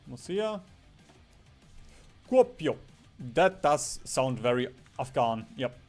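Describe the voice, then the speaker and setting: A man's voice: mid-range voice, big pitch swings, takes it slowly, a little mellow. A man doing casual gaming stream commentary in a rambling, jokey way, swearing casually. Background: background music.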